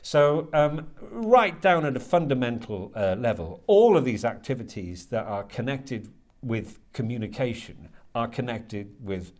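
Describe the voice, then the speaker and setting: A man answering interview questions as a writer and lecturer, thinking aloud haltingly and often repeating words as he works out his ideas. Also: medium pitch, sing-song, a little mellow. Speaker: guest, creative writing lecturer and writer.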